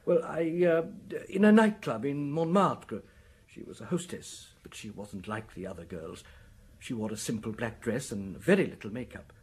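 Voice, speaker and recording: An actor playing a flustered, lovelorn middle-aged married gentleman in a radio drama, stammering and agitated. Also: mid-range voice, animated, normal speed, slightly rough. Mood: wistful.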